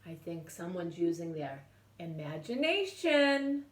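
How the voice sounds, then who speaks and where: Expressive, unhurried woman reading a storybook. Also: fairly low pitch, recorded from a distance. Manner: animated, speaking to young children. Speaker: children's story-time reader. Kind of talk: expressive storybook read-aloud. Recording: echoey room, away from mic.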